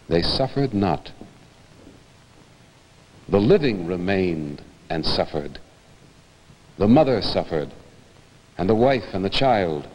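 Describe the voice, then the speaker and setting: A man's voice: low-pitched, darkish tone, long reverb tail, rough recording. A man giving a grave, declamatory dramatic recitation of poetry.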